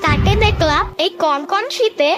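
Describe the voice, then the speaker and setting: A performer voicing a playful, pleading little schoolboy in an animated cartoon, chirpy and insistent. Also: very high voice, unhurried. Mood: protesting. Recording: music behind the voice. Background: background music.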